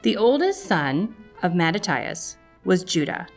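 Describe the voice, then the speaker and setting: A woman narrates a children's story from a script. Her voice sits a little low for a woman, and soft music plays behind her. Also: sing-song, unhurried.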